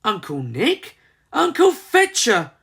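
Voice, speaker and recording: A storybook narrator voicing an eager, emotional young female chick, exclaiming excitably. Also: medium pitch, takes it slowly, breathy edge, voice pushed up, heavily acted. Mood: alarmed calling.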